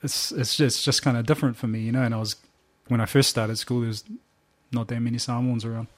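A man's voice: medium pitch, quick pace. A young man with a New Zealand accent, a musician guest chatting casually and laughing often as he tells stories. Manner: relaxed, laughs often, slangy storytelling. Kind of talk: casual interview chat.

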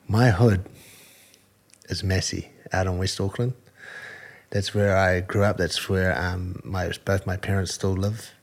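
A man with a New Zealand accent talks through an interview in an earnest, halting way, stopping and restarting his sentences. His voice sits very low, with a husky grain to it. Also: steady tone. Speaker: combat sport fighter, guest. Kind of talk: casual interview answers.

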